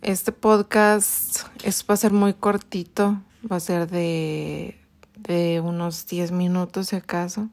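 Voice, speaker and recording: A Mexican woman in her late twenties hosting a personal podcast, telling a story slowly with many pauses, restarts and fillers. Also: fairly low pitch, fairly even intonation, creaky, darkish tone. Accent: Mexican Spanish. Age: 20s. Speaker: podcast host.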